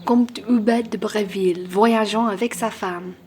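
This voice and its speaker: A woman's voice: mid-range voice, fairly even intonation, measured pace, a little mellow, natural voice. A woman playing a courteous, conciliatory aristocratic count in a radio play, formal and polished in manner. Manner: formal, polite. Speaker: student actor. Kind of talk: radio play acting in French.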